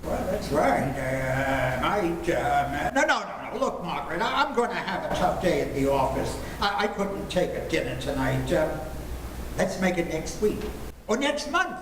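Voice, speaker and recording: A man's voice: a little high, big pitch swings, normal speed. A man acting a stubborn, flustered father in an amateur 1940s-style radio comedy, hemming and stammering emphatically. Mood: indignant. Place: filmed stage performance in a room. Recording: echoey room, faint background noise.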